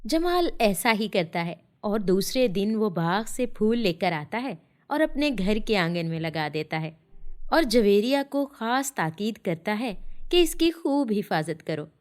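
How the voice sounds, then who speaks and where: A female storyteller reads a scripted children's tale. Her voice sits at a medium pitch, in the narrating style of a story for young listeners. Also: very animated, unhurried.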